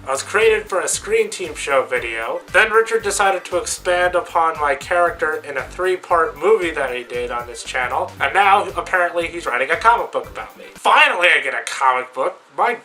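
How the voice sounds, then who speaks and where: A man's voice: fairly high pitch, animated, normal speed, hardly any pauses, sharp tone, soft background music. A man voicing a brash, rambling, irreverent comic superhero with a scratchy, strained voice, hosting a comedic web show. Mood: griping. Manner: cocky, rambling, irreverent, swears freely. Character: brash, crude, rambling comic superhero Captain Crazy, male. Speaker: stand-in host of a comics show.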